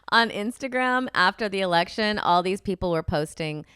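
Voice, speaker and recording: A woman's voice: mid-range voice, expressive, moderate pace, a bit bright, clear, resonant tone, dry recording, clean studio sound. A young woman co-hosting a podcast, chatting casually, laughing easily and trailing off when she is unsure. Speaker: podcast co-host. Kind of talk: casual comedic chat.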